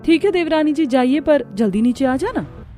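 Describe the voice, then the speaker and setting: A woman's voice: high-pitched, animated, normal speed, dark tone, pitched above the performer's own voice. A woman voicing an inquisitive, teasing elder sister-in-law in a cartoon family story, politely probing, over music. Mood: suspicious.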